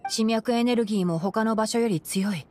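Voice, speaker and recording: A woman playing a calm, studious young fox-eared male ranger in a Japanese video game, speaking in a measured, articulate, gently admonishing way. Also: fairly high pitch, soft background music, close to an everyday voice. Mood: watchful.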